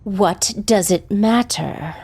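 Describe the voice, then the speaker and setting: Mother of monsters: serpentine, imperious, irritable, hissing, very slow and creaky, audio fiction. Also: mid-range voice, darkish tone, heavily acted. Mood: haughty, angry.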